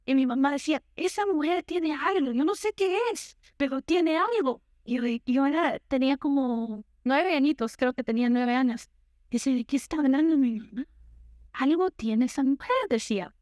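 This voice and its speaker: A woman's voice: high voice, moderate pace, darkish tone, no echo, studio quality. A young woman from Guatemala, preaching a sermon and telling her own story, slipping in English words. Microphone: handheld or headset mic, unfamiliar to her.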